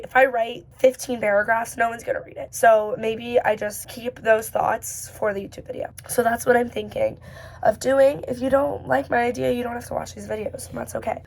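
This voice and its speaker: A woman vlogger with a hoarse voice, still recovering from losing it, who talks casually and thinks aloud with lots of fillers and self-questioning. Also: a little high. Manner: rambling and musing, many fillers and self-questions. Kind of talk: casual reflective talk to camera.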